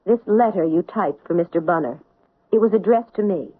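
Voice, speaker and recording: An actress playing a precise, methodical doctor who investigates in an old radio drama. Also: female voice, on the low side, a little fast, husky. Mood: probing. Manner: precise, reasoning step by step. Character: sharp methodical woman doctor-sleuth. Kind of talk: 1970s radio drama acting. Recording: dry tinny old radio broadcast.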